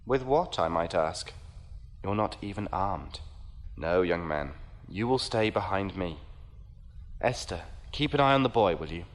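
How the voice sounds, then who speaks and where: A man's voice: sits low, lively intonation, measured pace. A man playing a genteel knight who talks in a courtly, patronizing way with dry wit. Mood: wry. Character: genteel, condescending male knight with a ship.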